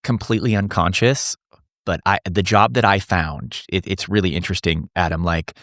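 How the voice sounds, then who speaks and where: Man, forties, low voice, earnest, restarts often, podcast guest, dry close mic. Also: fairly even intonation, normal speed, fairly bright tone, no room sound. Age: 40s. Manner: earnest, thinks aloud with many restarts. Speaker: men's coach, podcast guest. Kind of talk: casual podcast conversation.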